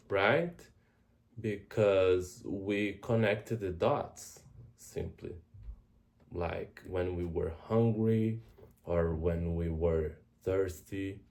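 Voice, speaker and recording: A Brazilian man with a Brazilian Portuguese accent, a native Portuguese speaker, introducing a travel language course as tutor and speaking slowly and deliberately, often repeating words. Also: sits low, a little mellow.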